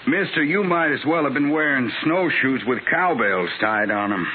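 An actor playing a surly, defiant gambler and outlaw in a 1950s radio western, curt and folksy. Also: male voice, mid-range voice, expressive, normal speed, lightly acted. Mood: scornful. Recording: tinny, noisy old radio recording.

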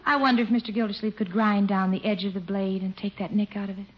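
A woman's voice: medium pitch, steady tone, fast, natural voice. An actress playing a genteel, coy single woman, gushing and a little hesitant, in a 1950s radio comedy. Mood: friendly, confiding. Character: genteel, coy single woman being courted, sweetly admiring. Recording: dull, noisy vintage radio recording.

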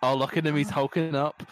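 A young man with a Scottish accent, talking in a loose, sweary way over a match. He laughs easily and gets excited as the action builds. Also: a little high, low-quality recording. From Scotland. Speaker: podcast co-host. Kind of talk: casual watchalong chat.

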